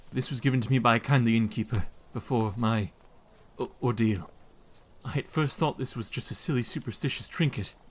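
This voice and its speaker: A man's voice: mid-range voice, lightly acted. A man playing an earnest devoted young husband. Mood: tender. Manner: earnest, tender, soft-spoken. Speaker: narrator of his own journal. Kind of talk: audio drama acting.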